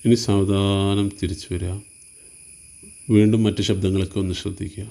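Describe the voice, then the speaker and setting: Meditation guide: Malayali man, lowish pitch, very soft dark tone, slow soothing instructions, spaced phrases. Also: flat delivery, vocal fry.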